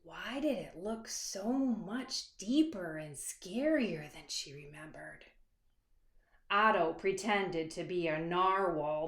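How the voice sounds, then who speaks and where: Slow, expressive woman reading a storybook, echoey. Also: lowish voice. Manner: dramatic, dropping to hushed asides and stretched words; pauses to address young listeners with questions. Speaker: author reading her own picture book. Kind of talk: expressive children's storybook read-aloud. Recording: echoey, reverberant room.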